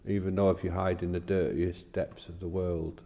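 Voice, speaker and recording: A plain-spoken man talking haltingly in a casual discussion of faith, recorded with long reverb. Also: low-pitched, little pitch movement, gravelly, mellow.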